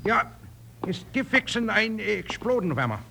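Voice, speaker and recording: A comical old German gunsmith with a high voice that swoops about nervously. He has a Pennsylvania Dutch accent and muddled, jittery English, on a noisy old radio western. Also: sing-song, moderate pace, gravelly, full character voice. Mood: nervous, eager. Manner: jittery, mangled English with invented German words. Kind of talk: 1950s radio western acting.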